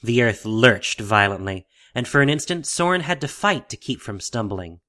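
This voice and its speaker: A man's voice: medium pitch, expressive, normal speed, sharp tone. A man narrating a fantasy audio drama from a script in a dramatic storytelling style.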